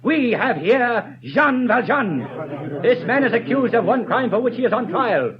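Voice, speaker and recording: A man's voice: very high voice, lively intonation, a little slow, crowd noise, exaggerated voice. An actor playing a stern prosecutor who declaims his charges in a 1930s radio drama. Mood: accusing. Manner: declamatory, accusing. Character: stern courtroom prosecutor, man, middle-aged. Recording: dull lo-fi 1930s radio broadcast.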